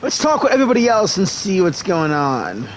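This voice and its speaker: A man's voice: high voice, huge pitch swings, brisk, slight background noise, poor audio. A man doing live let's-play commentary, playful and hammy, with role-played replies and stammering asides. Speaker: gaming streamer. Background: game music.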